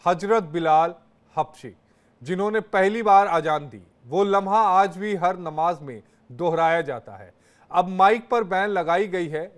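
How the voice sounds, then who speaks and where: A man reading a scripted, dramatic narration for a news report. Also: fairly high pitch.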